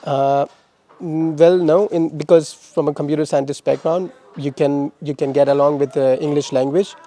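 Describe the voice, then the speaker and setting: Halting young man from Pakistan, flat and mellow. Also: a little high. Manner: halting, many restarts and repeated words. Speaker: interviewee, former master's student. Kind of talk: casual interview answers.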